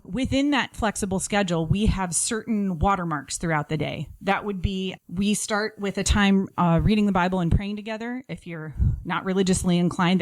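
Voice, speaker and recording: A woman's voice: mid-range voice, no room sound. A middle-aged woman giving practical, conversational advice in an instructive, emphatic, plain-spoken way. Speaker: podcast guest giving home-schooling tips.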